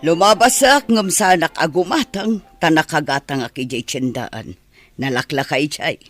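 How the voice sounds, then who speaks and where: A woman's voice: low voice, normal speed, soft background music. A woman with an Ilocano accent playing a skeptical, grumbling village woman in a comedic radio drama, blunt and questioning. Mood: dismissive.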